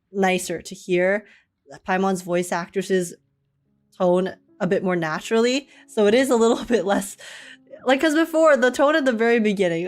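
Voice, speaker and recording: A woman live streamer giving casual, chatty, giggly commentary on a game. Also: medium pitch. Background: game music.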